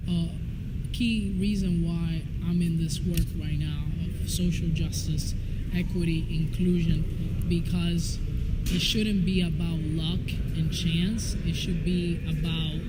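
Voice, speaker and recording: A woman from the Dominican Republic speaks earnestly, in a steady, level delivery. She is recorded outdoors, and loud street traffic noise sits under her voice. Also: lowish voice. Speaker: co-founder of a diversity organization. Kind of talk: interview testimonial.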